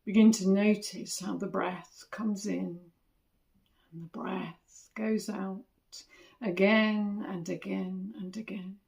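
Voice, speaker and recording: A woman leads a guided meditation in a medium-pitched voice with plenty of vocal fry. She speaks very slowly and gently, in short phrases with long pauses between them. The delivery is soothing and instructional, as from a body scan teacher. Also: steady tone.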